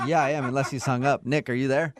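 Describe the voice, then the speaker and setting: A man's voice: mid-range voice, fairly even intonation, fast talker, no echo, clean studio sound. A man hosting a radio show, casually moderating a conversation with callers. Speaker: radio host.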